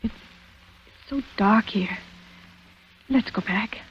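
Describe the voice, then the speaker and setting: A woman's voice: medium pitch, slow, a little hiss or hum. An actress playing a determined young woman in a 1940s-style radio drama. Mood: frightened. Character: determined young woman posing as innocent dance-hall patron. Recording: muffled vintage radio recording.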